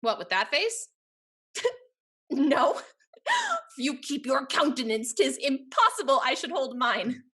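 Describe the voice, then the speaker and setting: An actress playing a capricious, teasing young society heiress in a Restoration stage comedy, with a haughty, playful, theatrical delivery. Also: female voice, high voice, huge pitch swings, takes it slowly, pauses often, fairly bright tone, husky, full character voice.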